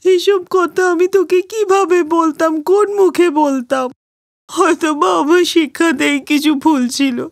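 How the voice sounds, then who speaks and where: A woman's voice: very high-pitched, fairly even intonation, measured pace, no echo, studio quality. A woman voicing a wronged, humble elderly mother in a plaintive manner for a cartoon moral story. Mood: sorrowful, humble.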